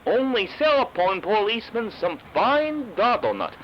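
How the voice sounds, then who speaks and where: High, singsong, evasive shopkeeper. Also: male voice, big pitch swings, normal speed, highs cut off, a little hiss or hum. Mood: sly, polite. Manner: broken English, refers to himself in third person. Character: Dado, cheerful evasive shopkeeper of mysterious donut business. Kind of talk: comedic audio drama character acting.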